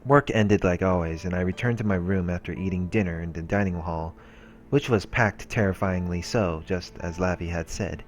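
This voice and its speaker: Deep voiced guy reading visual novel text out loud for a lets play, casual, soft music behind. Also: normal speed. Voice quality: low-pitched. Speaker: let's player reading a visual novel aloud. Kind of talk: read-aloud narration with casual asides. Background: game music.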